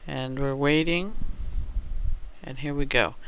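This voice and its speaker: A man giving an unscripted step-by-step software tutorial, thinking aloud in a halting way as he works. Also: mid-range voice, smooth, rough recording.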